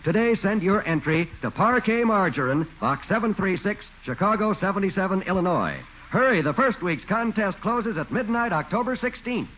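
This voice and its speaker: A man's voice: high voice, animated, normal speed, hardly any pauses. A man announcing a contest in an emphatic 1940s radio sales style. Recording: dull, hissy 1940s radio recording.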